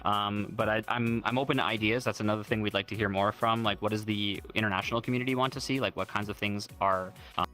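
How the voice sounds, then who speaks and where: A man's voice: fairly low pitch, steady tone, quick pace, hardly any pauses, sharp tone, soft background music. A man hosting a casual livestream, rambling and upbeat with lots of fillers and restarts. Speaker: livestream host.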